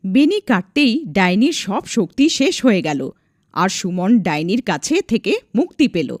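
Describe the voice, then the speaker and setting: A woman narrating a scripted animated folk tale as its storyteller. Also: medium pitch, normal speed, no room sound, studio quality.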